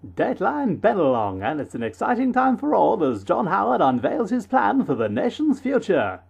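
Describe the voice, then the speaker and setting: High, animated old newsreel announcer. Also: male voice, vocal fry, husky, exaggerated voice. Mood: jovial, condescending. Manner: brisk, declamatory, jovially condescending. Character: chirpy, pompous 1940s newsreel announcer man, patriotic propagandist. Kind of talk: 1940s newsreel narration parody. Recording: dull, band-limited vintage newsreel sound.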